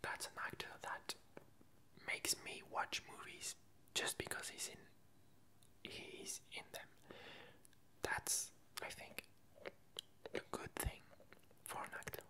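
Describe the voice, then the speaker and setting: Slow, whispering ASMR woman. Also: pushed and bright. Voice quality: breathy whisper. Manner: slow, halting, with long pauses. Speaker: ASMR video creator. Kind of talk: whispered ASMR casual chat.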